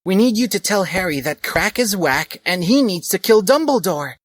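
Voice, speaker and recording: A man's voice: high voice, expressive, moderate pace, no room sound, pitched above the performer's own voice. A man voicing a bossy, scornful teenage girl who leads the group, in a mocking reading of a comedic story. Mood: mocking shrill.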